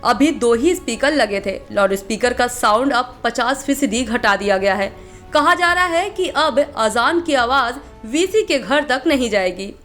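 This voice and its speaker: A woman's voice: on the high side, animated, unhurried, fairly bright tone. A woman reading a scripted news report as a voice-over. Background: faint music bed.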